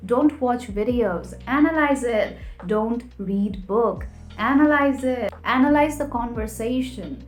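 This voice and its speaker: Young woman giving emphatic tips. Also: mid-range voice. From Nepal. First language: Nepali. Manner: emphatic, tip-by-tip delivery. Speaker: vlogger. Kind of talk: scripted advice vlog. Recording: echoey room with faint music.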